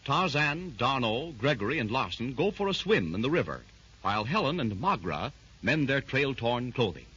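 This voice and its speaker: A man's voice: mid-range voice, very animated, moderate pace, fairly bright tone, breathy edge. A man narrating a 1930s radio adventure serial in a dramatic, emphatic style. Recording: dull old radio recording.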